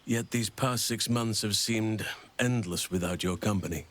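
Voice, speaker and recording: Casting: devoted, long-lived gentleman for a game, played by a man, fairly deep, eloquent, formal and measured. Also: close to an everyday voice. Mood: wistful, devoted. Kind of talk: video game character acting.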